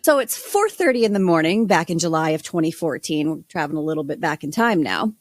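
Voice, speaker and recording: A woman's voice: low-pitched, big pitch swings, quick pace, dry recording, studio quality. A woman podcast panelist telling a true crime story in a wry, colourful, informal style.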